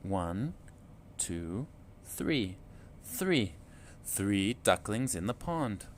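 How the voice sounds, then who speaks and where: Slow, lively man reading a picture book. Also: on the low side, slight background noise. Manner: slow, clear, expressive storytelling. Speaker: children's book reader.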